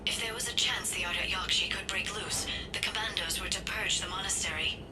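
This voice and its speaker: A woman's voice: mid-range voice, a little fast, recorded from a distance, music in the background, natural voice. A woman playing a serious, analytical alien intelligence expert in a video game. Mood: grave, informative.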